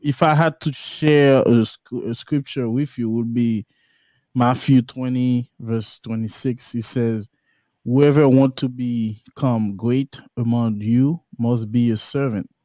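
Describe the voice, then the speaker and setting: A man with a Haitian accent hosts a podcast interview, speaking slowly and earnestly, often restarting his sentences. His voice is dark and mellow. The sound is dry and close, with faint music underneath. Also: mid-range voice, steady tone, no room sound. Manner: earnest, halting phrasing with restarts. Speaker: podcast host. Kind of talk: casual interview.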